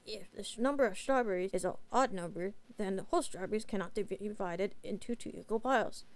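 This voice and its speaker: A woman voicing a fair, patient male master in a bedtime story, with a measured, firm manner. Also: mid-range voice, no echo, pitched below the performer's own voice, close to an everyday voice.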